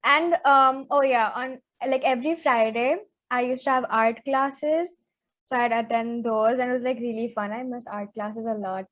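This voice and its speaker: Teen girl co-host, slightly high voice, chatty and quick to get worked up. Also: lo-fi sound. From Zambia. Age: teens. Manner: chatty, animated, says "like" a lot. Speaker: co-host, interviewee. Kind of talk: casual chat podcast.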